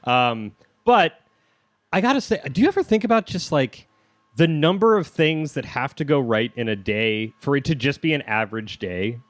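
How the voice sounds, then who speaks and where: A man's voice: medium pitch, lively intonation, moderate pace, dry recording. A man hosting a casual interview, talking in a rambling, self-deprecating, joking way. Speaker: podcast host interviewing a comedian.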